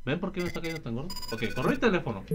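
A man's voice: fairly high pitch, expressive, racing, background noise. A man with a Mexican accent giving casual gameplay commentary, slangy and full of swearing. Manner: slangy and profane. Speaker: gaming video host. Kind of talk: casual gameplay commentary, reading subtitles aloud.

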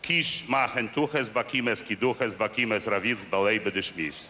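A man's voice: fairly low pitch, measured pace, bright, forceful tone. An actor playing a stout, pompous old nobleman knight who blusters in old-fashioned speech in a satirical radio play. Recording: old radio recording with room reverb and faint hiss.

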